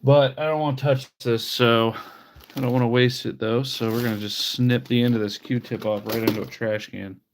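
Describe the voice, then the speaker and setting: A man's voice: medium pitch, steady tone, normal speed, slight background noise. A man livestreaming as he cleans an old handheld console, chatting casually with wry, mock-disgusted asides and sounding a bit sniffly. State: sniffly from allergies. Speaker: livestreamer repairing retro handhelds. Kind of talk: casual live-stream chat while working.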